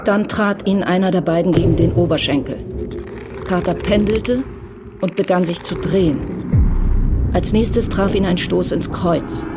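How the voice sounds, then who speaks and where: A narrator telling a crime radio play in even, measured, scripted narration. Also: mid-range voice, heavy background noise.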